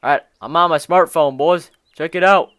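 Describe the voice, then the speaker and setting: A man's voice: fairly high pitch, normal speed, fairly bright tone, smooth, no echo. A man hosting a gaming video, chatting in informal slang as he roleplays and comments on the game with friends. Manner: chatty, informal, peppered with bro-style slang.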